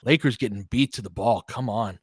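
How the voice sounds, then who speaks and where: A man's voice: lowish voice, a little fast, husky, dry recording, clean studio sound. A man doing casual live basketball play-by-play in clipped phrases, with conversational analytical asides. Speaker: play-by-play commentator and host.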